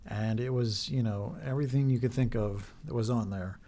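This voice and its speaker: Soft-toned man talking earnestly, voice wearing thin. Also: medium pitch. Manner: halting, with fillers and restarts. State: voice getting hoarse. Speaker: video host. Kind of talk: earnest talk to camera.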